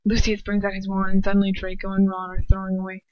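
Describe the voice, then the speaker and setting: A woman's voice: medium pitch, completely flat delivery, quick pace, dry recording. Woman reading fiction aloud, dull recording. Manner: stumbling, repeats and corrects herself. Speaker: amateur fan fiction reader. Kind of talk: narrating a story in first person.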